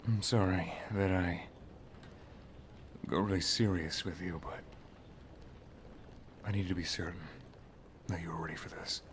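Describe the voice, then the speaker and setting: Man playing a deep, hushed, solemn wizard master. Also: steady tone, slight background noise. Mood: earnest. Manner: soft, half-whispered, grave, deliberate. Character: solemn, protective male wizard master and lover. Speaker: ASMR roleplay performer. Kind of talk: ASMR roleplay, dramatic monologue.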